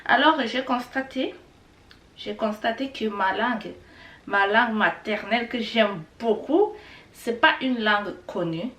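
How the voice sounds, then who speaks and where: A woman's voice: a little high, measured pace, a bit bright. A middle-aged woman, a Kinyarwanda speaker, teaching words in a chatty, repetitive way with many fillers. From Rwanda. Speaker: language teacher vlogger. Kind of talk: casual teaching vlog. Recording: echoey room, a bit far from the mic.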